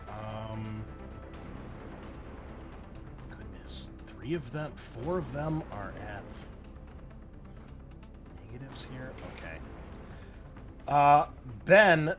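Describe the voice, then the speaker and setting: A man running a tabletop roleplaying game as game master, improvising action and rulings in a casual, profane way, thinking aloud. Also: medium pitch. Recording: loud music behind the voice. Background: music.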